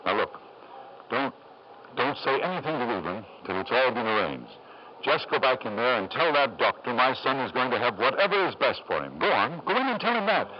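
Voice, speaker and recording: A man performs a devoted and ambitious father in vintage radio drama style. His pitch moves widely and he stumbles when anxious. The sound is muffled, with faint noise. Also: big pitch swings, a little fast, lightly acted. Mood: determined. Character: anxious, ambitious doting father, shopkeeper, also narrating his past. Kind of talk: 1950s radio drama acting. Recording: muffled, noisy 1950s radio broadcast.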